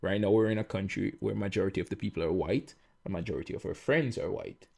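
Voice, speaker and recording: Jamaican guy cohosting a podcast, lowish voice, easygoing chat. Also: fast talker, creaky. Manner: relaxed, chatty, explains with everyday examples. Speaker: podcast co-host. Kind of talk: casual chat.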